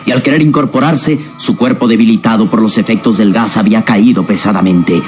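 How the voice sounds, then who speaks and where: A man with a low voice narrates a radio serial. His delivery is theatrical and suspenseful, with deliberate phrasing, and dramatic music plays clearly behind him. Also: darkish tone. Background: clear background music.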